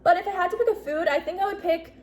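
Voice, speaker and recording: A woman's voice: very high voice, fast, darkish tone. A woman in her twenties chatting casually in a bubbly, talkative way, peppering her speech with "like" and "literally". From US Northeast. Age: 20s. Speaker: YouTuber. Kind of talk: casual Q&A vlog.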